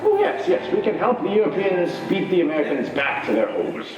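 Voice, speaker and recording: A man co-hosting a comedy charity show on stage, rambling through deadpan silly jokes. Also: sits high, a little fast, lo-fi sound, over music. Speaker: convention show co-host. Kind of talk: comic stage banter. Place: large convention hall stage. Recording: echoey hall from far off.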